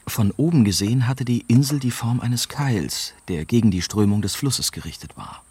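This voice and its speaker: A man's voice: medium pitch, expressive, normal speed, darkish tone, slightly rough. A man playing a young journalist who narrates his own adventure in a scripted radio drama. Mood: tense, factual. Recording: music behind the voice.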